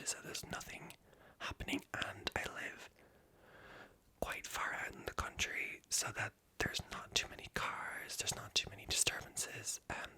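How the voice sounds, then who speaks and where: A woman with a light Irish accent whispers a breathy, self-conscious, stream-of-consciousness ASMR ramble. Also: pushed and bright. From Ireland. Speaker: ASMR video creator.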